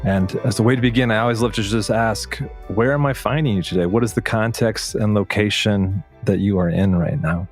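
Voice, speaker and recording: A man hosting a reflective interview podcast, speaking in measured, thoughtful phrases. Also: lowish voice, faint music behind. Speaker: podcast host.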